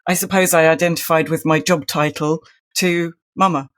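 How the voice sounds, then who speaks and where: A woman's voice: low-pitched, steady tone, moderate pace. A woman hosting an interview in a casual, conversational style. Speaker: interview host.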